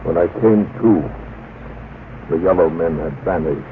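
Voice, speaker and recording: An actor playing a tough, intense adventurer, narrating gravely and deliberately in vintage radio drama style. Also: male voice, deep voice. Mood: grave, suspenseful. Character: tough, intense male adventurer recounting his ordeal. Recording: tinny, noisy old radio broadcast.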